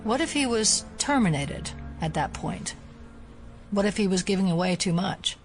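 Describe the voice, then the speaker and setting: An actress playing a skeptical, level-headed FBI agent in an audio drama, speaking in a measured, analytical way. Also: female voice, medium pitch, animated, faint music behind, close to an everyday voice. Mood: analytical, serious.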